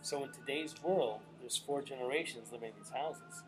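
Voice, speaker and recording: A man's voice: mid-range voice, moderate pace, over music, low-quality recording. Rambling man on a live stream. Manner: rambling, stumbling restarts. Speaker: show host. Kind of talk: casual chat.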